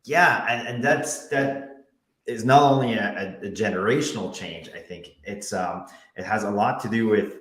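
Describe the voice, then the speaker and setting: A man's voice: lowish voice, moderate pace, a bit bright. A man, a real estate broker hosting an interview, thinking aloud with frequent restarts. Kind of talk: casual interview chat. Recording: echoey room.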